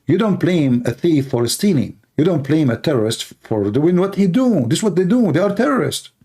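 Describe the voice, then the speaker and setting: A man's voice: on the high side, big pitch swings, normal speed, dark tone, slightly rough. A man from the Middle East, a native Arabic speaker with an Arabic accent, talking informally and bluntly as he hosts a live stream. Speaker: live stream host, religious apologist.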